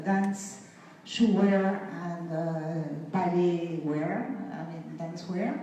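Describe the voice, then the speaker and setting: A woman around fifty, from Morocco, giving a conference talk with many fillers and pauses. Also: on the low side, warm tone, a little hiss or hum. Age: 50s. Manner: halting, many fillers, laughs between points. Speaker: guest speaker. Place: event venue. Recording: echoey hall from far off.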